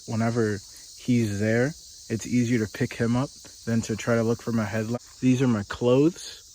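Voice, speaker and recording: A man giving a casual tour of the car he lives in, speaking in a flat, deadpan monotone with dry humor. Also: mid-range voice, slight background noise, rough recording. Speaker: vlogger living in his car. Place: inside and around a parked car outdoors.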